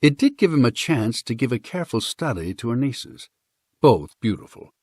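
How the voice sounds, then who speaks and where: A man narrating a western story as an audiobook, in a measured, expressive storytelling manner. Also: mid-range voice, no echo, clean studio sound.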